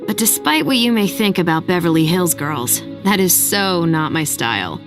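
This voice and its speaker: A woman's voice: fairly low pitch, expressive, normal speed, lightly acted. A woman playing a brash young American soldier in a game cutscene, with a casual, slangy manner. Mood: cocky, wry. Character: brash, wisecracking young American female soldier, warm-hearted. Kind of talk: video game cutscene narration. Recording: loud music under the voice.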